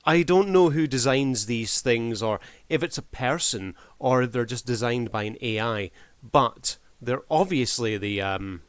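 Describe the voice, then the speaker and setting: A man's voice: medium pitch, a little slow, clean tone. A man giving casual review commentary as a video creator, in a wry, mock-formal showman's manner.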